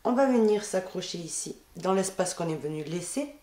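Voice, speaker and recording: A woman with a head cold gives a calm step-by-step crochet lesson, counting her stitches aloud as she works at a table. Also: fairly low pitch, moderate pace. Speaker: crochet tutorial host. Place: indoors at a wooden table.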